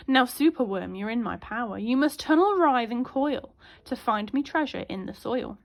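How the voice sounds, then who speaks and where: Woman playing a male lizard wizard, storybook villain, bossy, menacing, lively high-pitched delivery. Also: moderate pace. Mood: gloating, commanding. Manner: commanding, menacing.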